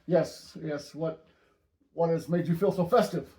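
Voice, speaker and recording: A man hosting a casual stamp-collecting show, with dry, deadpan banter. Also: on the high side, a little slow, echoey room. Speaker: host.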